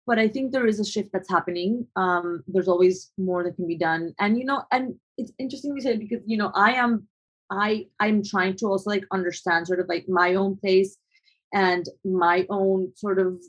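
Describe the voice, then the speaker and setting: A woman's voice: mid-range voice, normal speed, husky, muffled recording. A young adult woman photographer from Colombia, answering interview questions in a thoughtful, hesitant way with frequent restarts.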